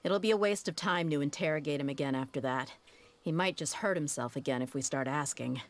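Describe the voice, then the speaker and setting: A tough female desert mercenary in a video game, speaking low and even. She is blunt and steady, and her threats come out cool rather than loud. The voice sits deep for a woman. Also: fairly quick. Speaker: game character.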